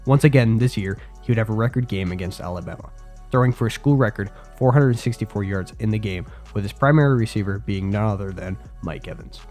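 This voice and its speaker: A young man with a congested, slightly stuffy voice narrates a football history video, his pitch sitting on the low side. He drops casual asides to his viewers between stats. Faint music plays quietly underneath. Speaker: sports video creator. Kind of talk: scripted sports narration.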